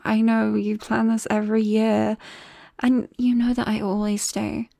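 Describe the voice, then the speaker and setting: A woman acts out a nervous, affectionate young British woman in an intimate ASMR roleplay. Her voice is soft and mellow with a creaky edge, and she speaks hesitantly. The sound is very close and dry. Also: medium pitch, no room sound. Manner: hesitant, soft-spoken, breaking into whispers and small nervous laughs. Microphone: close-up microphone, dry.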